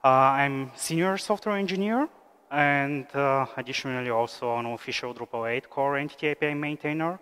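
A man in his thirties from Bulgaria, giving a technical conference talk with many "uh" fillers in a steady explanatory pace. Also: medium pitch. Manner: frequent "uh" fillers, measured explanatory delivery. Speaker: conference speaker, software engineer. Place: large conference hall, at a lectern stage. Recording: smooth voice with long hall reverb.

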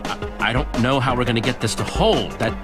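A man's voice: mid-range voice, a little fast, music in the background, natural voice. An actor playing a plucky young male game hero with a wry, casual, cocky way of talking. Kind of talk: animated video game voice acting.

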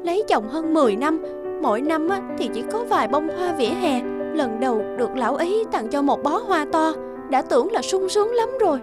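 High-voiced plaintive cartoon wife. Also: female voice, expressive, brisk. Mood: wistful. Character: emotional working wife and mother in a cartoon. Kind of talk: animated story voice acting. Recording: background music.

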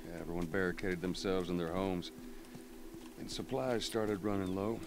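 A gruff, world-weary American survivor in middle age. The voice is very deep and gravelly, acted naturalistically for a game, with noisy ambience around it. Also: male voice, normal speed. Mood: grim, matter-of-fact. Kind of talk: naturalistic video game acting.

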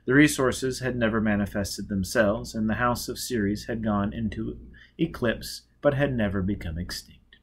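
A man's voice: lowish voice, moderate pace, slight background noise. A man in his thirties reading a novel aloud as narrator. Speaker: reader, narrator. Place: indoors, before a dark curtain.

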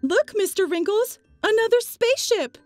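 A woman's voice: very high-pitched, animated, moderate pace, a little mellow, dry recording, soft background music. A woman voicing a playful, dim female dog in a comic dub, theatrical and teasing. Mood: gleeful teasing. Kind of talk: comic dub character acting.

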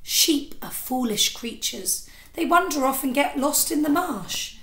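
A tender, reassuring mother from a children's story, played by a woman. The delivery is soothing and gentle, heard in an echoey room. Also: high-pitched, expressive, a little slow, voice pushed up, heavily acted. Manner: hushed, soothing.

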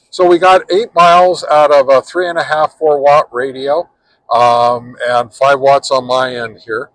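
An older man in his sixties hosts a laid-back radio-testing vlog. His voice sits at an ordinary middle pitch, and he talks in a relaxed, halting way, pausing and restarting often. Between chats he counts off test calls in a steady rhythm. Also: poor audio. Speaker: ham radio channel host.

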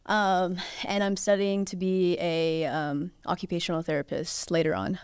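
Soft-spoken young woman, smooth dry voice. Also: lowish voice, level tone, normal speed, dry recording. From Maryland, USA. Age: young adult. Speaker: guest. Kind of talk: casual interview. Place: small studio, indoors. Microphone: studio mic on boom arm.

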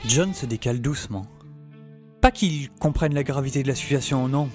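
An actor playing a wry, excitable young male survivor narrating his wasteland adventure in a wordy, theatrical, self-mocking way for an audio saga. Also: medium pitch, normal speed, natural voice. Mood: awed, wry. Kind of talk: dramatised first-person audio saga narration. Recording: loud music bed under the voice.

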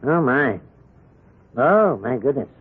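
An actor playing a smug, mock-courteous, husky-voiced crooked credit boss in a 1970s radio drama. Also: male voice, medium pitch, sing-song, slow, exaggerated voice. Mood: smug, mock-polite. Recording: thin lo-fi vintage radio recording.

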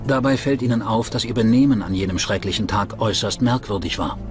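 A man's voice: fairly low pitch, steady tone, fast, close to an everyday voice. A man reading scripted true-crime documentary narration as the narrator. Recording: music bed under the voice. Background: background music.

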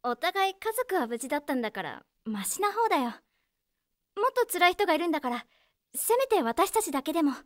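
A woman's voice: very high voice, a little fast, no echo, studio quality. A woman playing a caring, teasing teenage girl in an audio drama, speaking in a youthful, casual way. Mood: subdued, caring. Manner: youthful, casual, expressive schoolgirl speech. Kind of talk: Japanese audio drama acting.

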